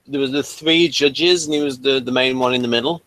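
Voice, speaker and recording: A man's voice: mid-range voice, steady tone, fairly quick, a bit bright, no echo. A man in casual debate as a panel reviewer, eagerly insisting on his points with stumbling restarts. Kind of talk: casual chat, explaining and debating TV trivia.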